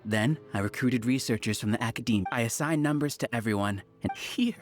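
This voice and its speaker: A man's voice: medium pitch, a little fast, soft background music, lightly acted. A man voicing a smug, scheming researcher who leads a cult in a video game, grandiose and condescending. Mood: smug, lecturing. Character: smug scheming male researcher leading a hive-mind cult. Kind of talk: dramatic video game villain acting.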